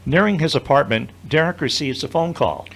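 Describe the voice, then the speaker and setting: A man's voice: fairly high pitch, lively intonation, moderate pace, vocal fry, a bit bright, slightly rough. A man narrating an audio drama from a script, describing each scene as storyteller.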